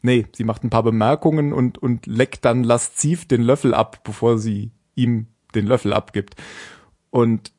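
A man's voice: medium pitch, steady tone, unhurried, darkish tone. A man in casual podcast chat, walking through the story of an episode. He talks in a loose, meandering way, often stopping to fix what he just said. His delivery stays informal and unrehearsed. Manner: rambling, self-correcting, with frequent fillers and laughs. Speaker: podcast co-host.

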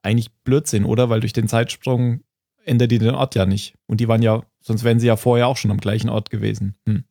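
A man's voice: medium pitch, steady tone, fast, gravelly, mellow, dry recording, clean studio sound. A man chatting casually as a podcast host recapping a TV episode, thinking aloud hesitantly and often chuckling.

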